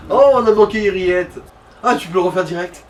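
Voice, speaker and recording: A man's voice: high-pitched, brisk, far from the mic. A man co-commentating on a video game in casual, slangy, teasing chat.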